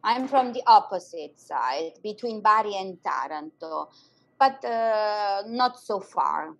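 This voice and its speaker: A woman teacher with an Italian accent talks slowly and unhurriedly as an interview guest. Her first languages are Italian and German, and her English is halting, with fillers and Italian asides dropped in. Her voice sits at a middle height. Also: medium pitch, lively intonation, vocal fry, low-quality recording. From Germany, to parents from southern Italy. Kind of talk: conversational interview.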